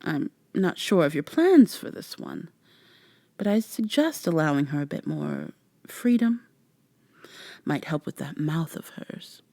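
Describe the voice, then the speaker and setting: Haughty, teasing vampire woman acted by a woman, ASMR drama; lowish, close and dry, measured formal speech. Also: sing-song, creaky, warm tone, no echo, heavily acted. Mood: amused, condescending. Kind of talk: soft-spoken ASMR roleplay acting.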